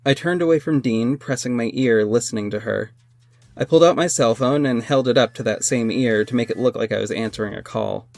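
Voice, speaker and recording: A man's voice: mid-range voice, brisk, creaky, darkish tone, no echo, natural voice. Male actor as earnest superhero lead and first-person narrator for audio drama; mid-range voice, light music bed. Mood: earnest, dramatic. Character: earnest male masked superhero recounting his adventures. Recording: music under the voice.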